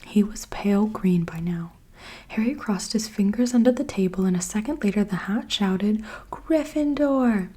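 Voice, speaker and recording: A woman reading a storybook aloud in a soft whisper. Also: medium pitch, moderate pace, husky. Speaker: reader.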